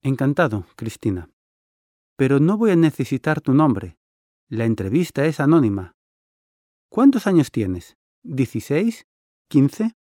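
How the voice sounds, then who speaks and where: A man's voice: medium pitch, very animated, a little slow, long pauses, mellow, no echo, clean studio sound. A man playing a friendly interviewer in a scripted listening-test dialogue, asking polite, curious questions. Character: friendly adult male interviewer.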